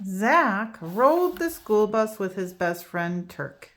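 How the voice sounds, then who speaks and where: A woman reading a picture book aloud for preschool children, slow and expressive. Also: mid-range voice.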